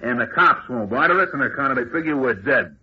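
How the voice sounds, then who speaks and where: An actor playing a tough, callous gang boss in a radio serial, bossy and sneering, with rough slangy diction. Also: male voice, medium pitch, lively intonation, fast, natural voice. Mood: bossy, impatient. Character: tough, callous male crook boss of escaped convicts. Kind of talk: old-time radio serial acting. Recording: muffled, noisy old radio recording.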